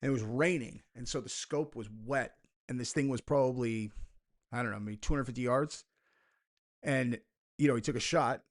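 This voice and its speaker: A man's voice: medium pitch, moderate pace. Rambling sarcastic young man. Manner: rambling, sarcastic, heavy filler words. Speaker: commentary video host. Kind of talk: casual reaction commentary.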